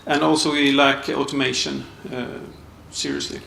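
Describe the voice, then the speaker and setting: A man giving a conference panel talk, halting with restarts and many uhs. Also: medium pitch, flat delivery, gravelly, bright, forceful tone. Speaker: panel speaker, architect. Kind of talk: conference presentation. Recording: echoey hall, mic off at a distance, faint room noise.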